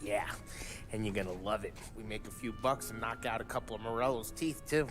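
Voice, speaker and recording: An actor playing a brash, talkative gangster who spins stories and cracks wise in a game cutscene. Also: male voice, fairly low pitch, steady tone, fairly quick, over music, lightly acted. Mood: boastful. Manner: boastful storyteller, wisecracking. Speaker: mob crew member. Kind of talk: 1930s gangster game dialogue.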